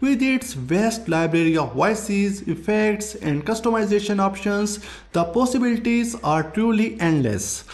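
A man's voice: sits high, lively intonation, a little slow, darkish tone. A man hosting a tech review, reading scripted tutorial narration.

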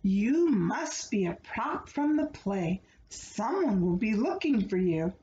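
A woman voicing a friendly drummer man in a storybook, gently surprised and questioning. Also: medium pitch, very animated, slow pace. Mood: surprised, kindly. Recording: roomy, dull home recording with background noise.

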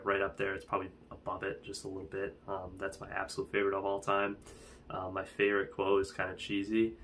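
A young man chats to the camera in a loose, rambling way, leaning on fillers as he talks. His voice sits fairly low and stays level, with a faint hum of the room behind him. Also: bright, forceful tone. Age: young adult. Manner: chatty and rambling, heavy fillers. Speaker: fitness vlogger. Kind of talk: casual talking-head vlog. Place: small indoor room with wood-panel wall.

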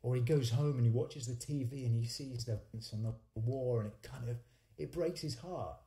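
A man's voice: medium pitch, normal speed, warm tone. A man in his forties, a singer-songwriter hosting and telling stories casually, with hesitant conversational phrasing that restarts often. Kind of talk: casual storytelling between songs. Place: small home studio, indoors. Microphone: studio condenser microphone on a stand. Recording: roomy, echoing livestream sound.

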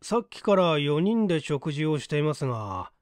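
A man voicing a composed, quick-witted young son in an audiobook story, chatty and breezy. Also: on the high side, big pitch swings, no echo, clean studio sound. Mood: casual bluffing.

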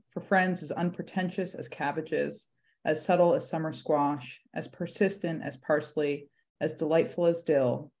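Young woman reading verse, mid-pitched. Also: warm tone. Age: young adult. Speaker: panel guest, theatre director. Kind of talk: poem reading. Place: indoor room, video call.

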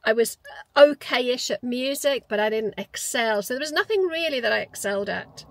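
A Geordie woman in her fifties talks from the driver's seat of a parked car into a clip-on mic. Her voice sits a little high. She tells her story in a chatty, reflective way and often starts a phrase over. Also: animated, moderate pace, slight background noise. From North East England. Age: 50s. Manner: chatty, reflective, with frequent restarts. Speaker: vlogger. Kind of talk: casual personal storytelling. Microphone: lapel mic.

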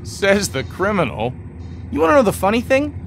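Cynical con man, animated delivery. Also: high-pitched, normal speed, gravelly, slight background noise, full character voice. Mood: bitter, defiant. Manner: dry, sardonic, profane. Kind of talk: video game dialogue acting.

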